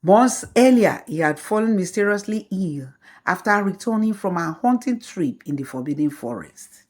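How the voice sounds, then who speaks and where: A woman telling a folktale as scripted storybook narration, in a slow, measured way. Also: medium pitch, expressive, a little mellow.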